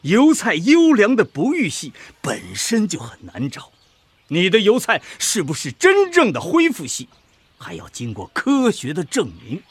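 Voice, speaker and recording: A pompous husky professor with wildly swooping pitch. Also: male voice, wildly swooping pitch, unhurried, dry recording, full character voice. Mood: lecturing, self-assured. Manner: pompous, lecturing, didactic. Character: pompous older male agricultural research professor. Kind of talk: Chinese radio drama acting.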